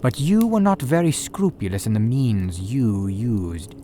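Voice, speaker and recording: A man voicing a calm, sharp-witted male detective who speaks in a measured, dry and commanding way. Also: on the low side, a little hiss or hum. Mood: dry accusing.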